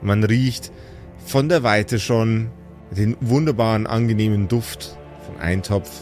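Low-voiced man narrating a fantasy adventure. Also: slow, darkish tone, faint music behind. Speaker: game master, narrator. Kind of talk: improvised fantasy storytelling narration.

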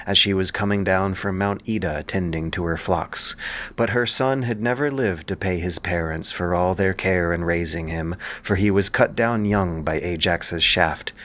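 A man with a fairly low voice delivers scripted podcast commentary. His phrasing is measured and literary, with a dramatic storyteller's turn to it. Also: a bit bright. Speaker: podcast host and narrator. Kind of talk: scripted commentary on ancient epic and history.

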